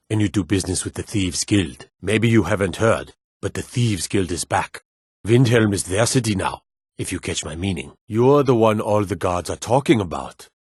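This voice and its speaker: Gruff, low-voiced fantasy town guard. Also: male voice, dry recording, clean studio sound. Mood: awed, respectful. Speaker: video game voice actor. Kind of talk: fantasy game character barks.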